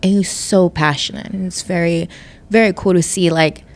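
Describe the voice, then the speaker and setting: A woman's voice: fairly low pitch, steady tone, normal speed. A young woman being interviewed about her club work, chatting casually with lots of "like" and frequent laughter. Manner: bubbly, laughs often, lots of "like". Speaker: club communications coordinator, guest.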